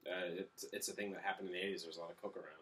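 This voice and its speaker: Guy chatting movies, bright forceful voice, bit far from the mic, opinionated. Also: deep voice, steady tone, rapid-fire. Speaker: movie review co-host. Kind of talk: casual movie chat. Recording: slightly distant microphone.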